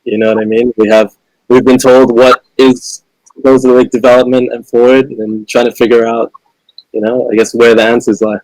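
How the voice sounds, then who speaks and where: Young guy, english-born, accent all mashed up british dominican american, relaxed and thoughtful, dry close sound. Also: mid-range voice, normal speed, dry recording, rough recording. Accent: mixed British, Dominican and American. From England. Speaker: filmmaker guest. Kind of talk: casual live-stream interview.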